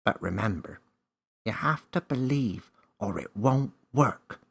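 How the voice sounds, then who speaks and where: A man's voice: on the low side, lively intonation, gravelly, a bit bright, husky, pitched above the performer's own voice, heavily acted. A man voicing a small magical male companion, encouraging and slightly impatient, in a children's story. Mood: coaxing, mysterious. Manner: brisk, coaxing, reassuring.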